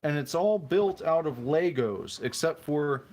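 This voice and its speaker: A man's voice: on the high side, lively intonation, a little slow, darkish tone. A man hosting a laid-back live stream from home, talking into a phone on a tripod. He rambles comfortably and reads viewer messages aloud. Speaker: streamer host. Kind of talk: casual live-stream chat while building. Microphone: phone mic on a tripod.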